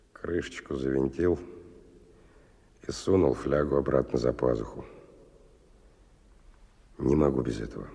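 An actor playing a hard-bitten, world-weary stalker who narrates a radio drama in a wry, colloquial, husky voice. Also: male voice, rumbling low, warm tone, exaggerated voice. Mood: grim, deliberate. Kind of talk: 1990 Russian radio drama, first-person narration.

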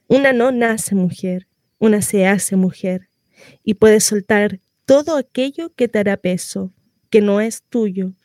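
Tender devoted mother acted by a woman, slow dramatic monologue, deliberate pauses, dry close sound. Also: medium pitch, mellow, no echo, natural voice. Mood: solemn, empowering. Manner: slow, deliberate, pausing delivery. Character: tender, devoted mother counselling her daughter on freedom. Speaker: actress.

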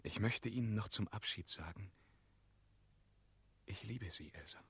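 An actor playing a brave, earnest dragon-slayer knight in love, speaking with intimate ardour in a 1960s radio drama. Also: male voice, fairly low pitch, a little slow, a bit bright, slightly rough, no room sound, exaggerated voice. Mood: tender, grave.

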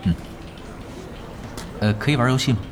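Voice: medium pitch, moderate pace, a little hiss or hum, lightly acted. An actor playing a laid-back young gamer on an internet-cafe night shift in an audio drama, casual and dry. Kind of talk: Chinese audio drama acting.

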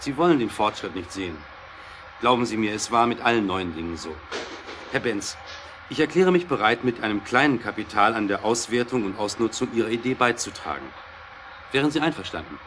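A man's voice: fairly low pitch, background noise, lightly acted. A man playing a courteous, supportive business client in a German radio play, polite and formal in his delivery. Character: courteous, supportive gentleman client and would-be investor. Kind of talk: German audio drama acting.

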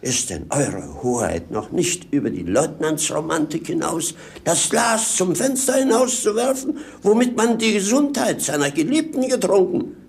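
An actor playing a witty, mocking servant in theatrical, mock-pompous 1950s radio-play style. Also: male voice, high voice, expressive, moderate pace, creaky, hardly any pauses, darkish tone. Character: roguish servant companion, witty, mocking, down-to-earth opportunist.

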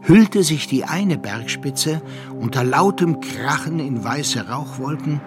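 An actor playing a worldly narrator in a radio drama, telling the tale in a measured, literary way. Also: male voice, fairly high pitch, animated. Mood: suspenseful. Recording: music behind the voice.

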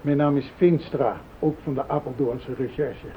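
An actor playing a polite but insistent police detective in a radio play. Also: male voice, fairly even intonation, moderate pace, close to an everyday voice. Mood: politely urgent. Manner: formal, insistent. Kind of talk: Dutch radio play acting. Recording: muffled phone-like old radio recording, rumbling hiss.

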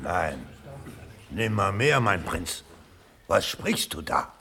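An actor playing an old, loyal and blunt cavalry colonel in a classical verse radio drama, declaiming with passion. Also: male voice, deep voice, big pitch swings, slow, heavily acted. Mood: dismayed. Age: older. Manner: declamatory, impassioned, swinging from hushed to forceful.

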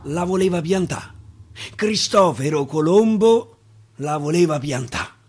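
A man with a Roman accent reciting a dialect poem theatrically on the radio, swinging from whispers to loud climaxes. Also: fairly high pitch, lively intonation, unhurried, husky. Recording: radio broadcast with guitar underneath. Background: guitar music.

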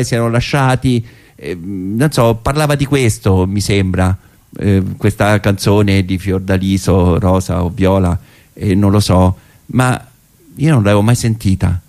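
A man's voice: on the low side, moderate pace, darkish tone. A middle-aged man with a Roman accent performing a theatrical first-person monologue in a conversational, hesitant way with wry asides.

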